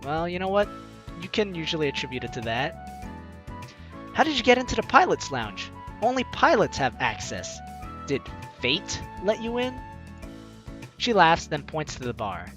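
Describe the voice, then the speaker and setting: Male let's player, slightly high and lively, expressive visual novel narration with playful asides, light music behind. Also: normal speed, long pauses. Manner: expressive, acts out reactions. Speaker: let's play host. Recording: music under the voice. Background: game music.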